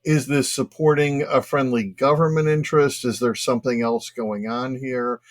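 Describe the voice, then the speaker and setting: A man's voice: medium pitch, fairly even intonation, moderate pace, no echo. Probing man co-host with a dry sound. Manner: probing, rephrases questions. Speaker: podcast co-host. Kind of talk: podcast interview.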